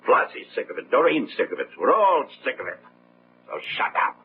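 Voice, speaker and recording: An actor playing an older, gray-haired multimillionaire patriarch in a radio drama, gruff, bossy and full of contempt. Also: male voice, mid-range voice, very animated, fairly quick, close to an everyday voice. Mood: angry, scornful. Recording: muffled phone-like old radio sound, faint noise.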